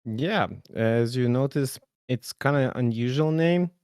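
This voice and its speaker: A man's voice: medium pitch, a little slow, darkish tone, clean tone, no echo, studio quality. Chatty Serbian-born man in his twenties. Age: 20s. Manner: joking, explains at length with many fillers. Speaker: podcast co-host. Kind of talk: casual podcast chat.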